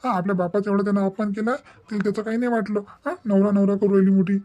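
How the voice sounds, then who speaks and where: A man's voice: high-pitched, flat delivery, rapid-fire, mellow, lo-fi sound, lightly acted. A man with a Vidarbha Marathi accent playing a hurt, sentimental middle-aged father in a cartoon family drama, grumbling plaintively. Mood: wistful, aggrieved.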